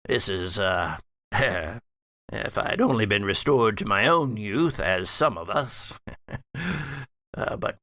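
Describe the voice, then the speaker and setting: A man playing an undead elderly patriarch in a tabletop horror game, rambling and halting, wry and weary. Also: on the low side, animated, bright, forceful tone, no echo, studio quality, pitched below the performer's own voice, full character voice. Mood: wry, impatient. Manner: halting, rambling storyteller with musing asides.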